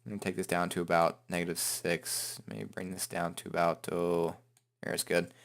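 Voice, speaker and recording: A man hosting a software tutorial in an informal, joking, self-deprecating way. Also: deep voice, little pitch movement, moderate pace, gravelly. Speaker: tutorial host. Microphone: headset microphone.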